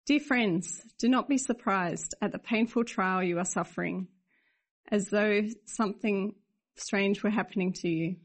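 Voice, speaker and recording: A woman reading a Bible passage aloud to a church, in a measured, careful way. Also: mid-range voice. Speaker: scripture reader.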